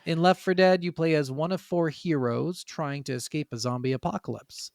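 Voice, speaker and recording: A man's voice: on the high side, normal speed, gravelly, darkish tone, no echo, clean studio sound. A man co-hosting a casual gaming podcast, talking informally and swearing freely. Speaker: podcast co-host.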